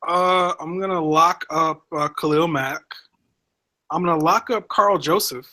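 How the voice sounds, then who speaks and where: A man's voice: a little high, measured pace, pushed and bright. A man co-hosting a sports talk show, dry and offhand, correcting himself as he goes. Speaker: podcast co-host. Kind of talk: casual sports banter.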